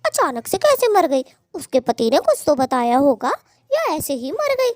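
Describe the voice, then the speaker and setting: A spiteful cartoon bird wife played by a woman with a very high, swooping voice. She is quick-tempered and scheming, scolding with dramatic flair in a close, dry recording. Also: sing-song, a little mellow, no echo. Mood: sly, dismissive. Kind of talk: Hindi cartoon story dialogue.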